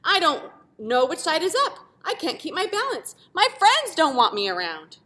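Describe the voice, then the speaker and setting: A woman's voice: sits high, wildly swooping pitch, normal speed, bright, forceful tone, pitched above the performer's own voice, exaggerated voice. A woman voicing a restless, discontented talking shape from a children's storybook, plaintive and earnest. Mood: weary pleading.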